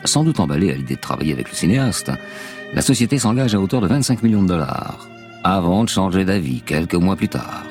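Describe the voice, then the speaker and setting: A man's voice: low voice, very animated, brisk, darkish tone. A man narrating a scripted radio documentary as its host, telling the story dramatically and posing rhetorical questions. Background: music under the voice.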